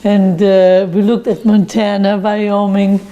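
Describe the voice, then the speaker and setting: A woman's voice: mid-range voice, level tone, slow pace, dark tone, muffled recording. An older woman from Germany, a winery owner, telling in a plain, storytelling way how she came to America. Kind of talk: oral history interview.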